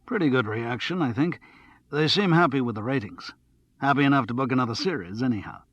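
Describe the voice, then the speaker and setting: A man voicing an affable, assured male radio presenter in an audiobook, with clear professional charm. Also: mid-range voice, expressive, a little fast, fairly bright tone, dry recording, dull audio. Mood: modest, affable.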